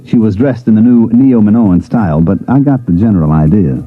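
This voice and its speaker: A man's voice: fairly low pitch, a little fast, natural voice. A man playing a wry, grumbling government special agent, narrating colloquially in 1950s radio drama style. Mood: wry, aggrieved. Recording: muffled old radio recording, loud music.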